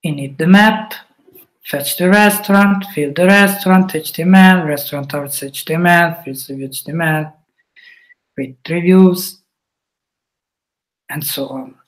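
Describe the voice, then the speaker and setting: Slow halting Italian man demoing code. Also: fairly high pitch, low-quality recording. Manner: halting, thinks aloud with fillers. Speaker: webinar presenter. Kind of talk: live coding walkthrough.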